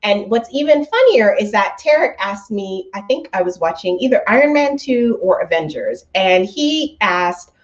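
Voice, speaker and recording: A woman's voice: medium pitch, expressive, moderate pace, hardly any pauses, lo-fi sound. A woman in her thirties, a bit stuffy from allergies, hosting a casual weekly live stream from home and chatting upbeat with viewers, laughing often. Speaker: host of a travel company's weekly live stream. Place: indoors at home. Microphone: laptop microphone.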